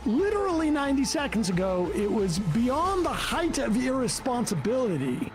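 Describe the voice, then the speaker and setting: Animated man pausing a clip. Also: extremely high pitch, over music. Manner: animated, interrupts to pause the clip. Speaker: streamer reacting to clips. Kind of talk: casual commentary over video.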